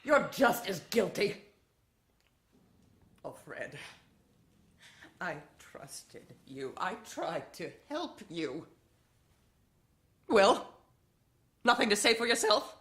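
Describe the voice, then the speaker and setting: A bitter, imperious wife in an audio drama, speaking in a roomy, echoing space. She draws her words out slowly and swings widely in pitch, scornful and accusing. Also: sing-song, fairly bright tone, heavily acted. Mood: furious, wounded.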